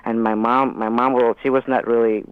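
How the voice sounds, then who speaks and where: A man's voice: medium pitch, little pitch movement, clean tone, dry recording. Stammering young man with a Mexican accent on a phone line. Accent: Mexican Spanish. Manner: halting, stammering, many restarts. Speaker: caller. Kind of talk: phone call to a radio advice show.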